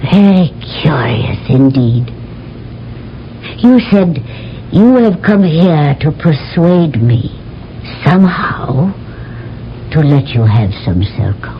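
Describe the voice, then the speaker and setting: Cool, commanding alien queen in an old radio play, slow and stately, dull lo-fi sound. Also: sing-song, vocal fry, heavily acted. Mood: imperious. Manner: slow, measured, imperious. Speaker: queen Lura. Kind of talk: 1970s radio drama acting. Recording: dull old radio broadcast with faint rumble.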